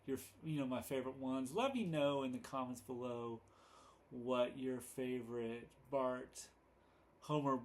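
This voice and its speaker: A man hosting a casual, unscripted fan commentary video, halting and rambling as he goes, often correcting himself. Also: medium pitch. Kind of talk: casual unscripted chat to camera. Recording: noticeable background noise.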